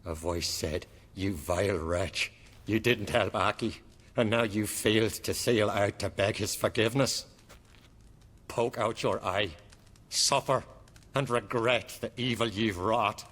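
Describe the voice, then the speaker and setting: Haunted, guilt-ridden male zealot for a game: rough husky voice, dragging pace, cavernous echo. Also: fairly low pitch, fairly even intonation, a bit bright. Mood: condemning. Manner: slow, solemn, archaic phrasing. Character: tormented, guilt-ridden Norse clansman, devout and haunted. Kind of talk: video game cutscene acting. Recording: long hall-like reverb.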